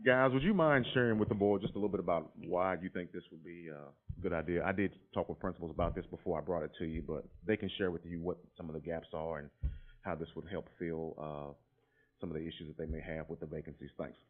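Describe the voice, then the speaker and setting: A man's voice: deep voice, fast talker. A man presenting a proposal at a school board meeting, explaining steadily with frequent filler words. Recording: tinny lo-fi meeting audio.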